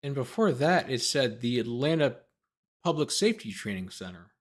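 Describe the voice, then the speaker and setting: A man with a medium-pitched voice that rises and dips expressively as he talks. He works as a host and lawyer commentator, reading documents aloud and commenting off the cuff. His delivery is sardonic, slipping between formal reading and quippy asides. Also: very animated, moderate pace.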